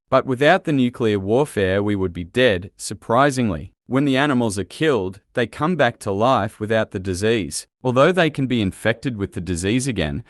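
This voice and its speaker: Guy playing an emergency alert announcer, lowish voice, very dry sound, grave and matter of fact. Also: dry recording, close to an everyday voice. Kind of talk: scripted emergency broadcast announcement.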